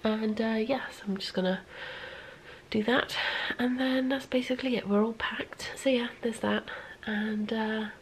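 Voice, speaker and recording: A woman's voice: on the high side, steady tone, a little slow, a little hiss or hum. A woman chatting casually in a vlog in a rambling way, audibly congested and sniffly, sometimes dropping almost to a whisper. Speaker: knitting vlogger.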